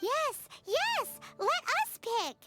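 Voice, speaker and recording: A woman voicing a tiny, cheeky, excitable childlike fairy companion in an anime-style game, with a squeaky voice. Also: extremely slow, loud music behind the voice, full character voice. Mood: eager. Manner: cheeky, speaks of herself in third person.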